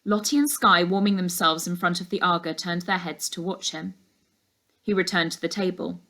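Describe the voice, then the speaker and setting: A woman's voice: lowish voice, normal speed, fairly bright tone. A woman reading a children's novel aloud as a teacher does for her class.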